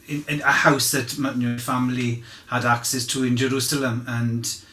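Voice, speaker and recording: Roomy echo, actor as humble male fisherman, bright forward voice, candid, halting testimony, courtroom drama. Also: mid-range voice, flat delivery, natural voice. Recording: roomy, echoing sound.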